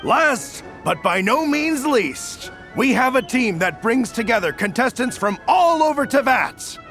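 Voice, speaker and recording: A man voicing a formal, upbeat race referee in a video game, announcing in a measured, drawn-out way. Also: very high-pitched, sing-song, fairly bright tone, faint music behind, exaggerated voice. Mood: rousing. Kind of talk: ceremonial event announcing, scripted game dialogue.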